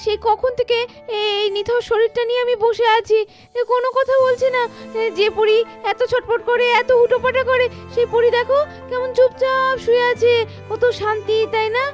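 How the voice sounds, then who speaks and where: A woman voicing a numb, grieving mother in denial, wistful and hushing, in a melodramatic doll cartoon. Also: very high-pitched, level tone, normal speed, music in the background.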